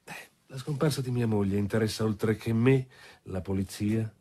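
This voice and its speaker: An actor playing a courteous older lawyer in a radio drama, husky, formal and measured. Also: male voice, lowish voice, dark tone, lightly acted. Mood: courteous, guarded. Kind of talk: Italian radio drama acting.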